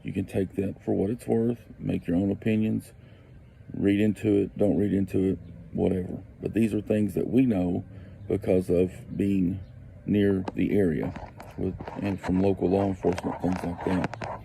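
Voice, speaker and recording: Man, gravelly and dark, very slow, faint noise behind. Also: deep voice, level tone, rough recording. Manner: slow and deliberate, repeats for emphasis. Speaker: local neighbor telling a story. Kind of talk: storytelling on a podcast clip.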